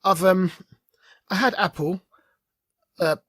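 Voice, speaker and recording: An animated podcast co-host with a mid-pitched voice, recorded dry and close. The delivery is casual and opinionated, leaning hard on points in loose, chatty conversation. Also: slow, dry recording. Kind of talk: casual chat about streaming and geek culture.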